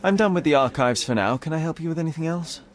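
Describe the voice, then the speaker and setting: An actor playing a young, self-assured gentleman detective in a video game, speaking formally with dry politeness. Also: on the high side, lively intonation, quick pace, background noise, natural voice. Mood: composed. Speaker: amateur detective.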